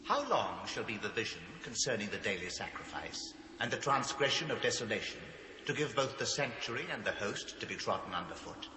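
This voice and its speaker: Casting: grave celestial saint, British, lowish forceful voice, solemn delivery. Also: male voice, lively intonation, fairly quick. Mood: grave, questioning. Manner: solemn, declamatory. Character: grave male heavenly saint questioning in a vision. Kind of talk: dramatised scripture reading. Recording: echoing long reverb, faint noise.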